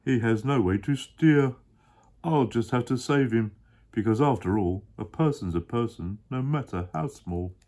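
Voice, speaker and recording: A man voicing a gentle, kind-hearted male elephant, reading a storybook aloud in an earnest, pleading way. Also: mid-range voice, a little slow, lightly acted. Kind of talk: reading a picture book aloud.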